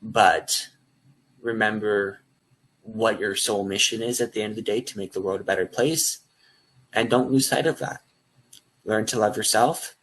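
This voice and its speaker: A man's voice: fairly low pitch, steady tone, normal speed, long pauses, fairly bright tone, husky, low-quality recording. A young man speaking as a guest over a video call, talking earnestly and at length about offering life coaching. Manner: earnest and rambling, with restarts. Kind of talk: earnest promotional talk.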